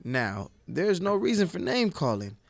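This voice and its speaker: Green frontier deputy, male actor: high, very expressive pitch, wordy and earnest, anxious edge, close dry recording. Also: normal speed, vocal fry, dry recording. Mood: earnest, resolute. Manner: hesitant, stammering. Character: nervous, reluctant young deputy from Chicago, earnest and wordy. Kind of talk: audio play acting.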